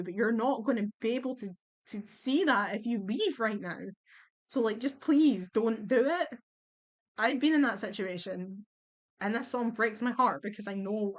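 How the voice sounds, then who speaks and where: A young woman chatting bluntly as she ranks songs at home on her computer, her voice going a little hoarse. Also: mid-range voice. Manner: chatty, blunt, swears and laughs easily. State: losing her voice. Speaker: vlogger ranking songs. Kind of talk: casual chat.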